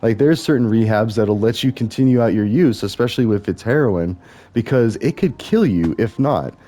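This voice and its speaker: A young man with a deep voice, musing on tangents while he plays a game. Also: animated, moderate pace, dark tone. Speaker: gaming vlogger. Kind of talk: rambling commentary while playing a game.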